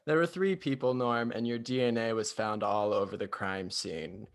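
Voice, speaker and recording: A man playing a hesitant, candid talk show host in an improvised comedy parody, speaking haltingly. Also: on the low side, vocal fry. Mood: probing.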